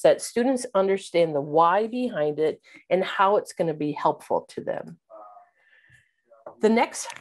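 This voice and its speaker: A middle-aged woman on a video call, giving a keynote on teaching with an instructive manner, some ums and uhs, often checking in with "right?". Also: fairly low pitch. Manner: instructive, fillers like um and uh, asks "right?". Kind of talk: webinar presentation. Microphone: video call webcam.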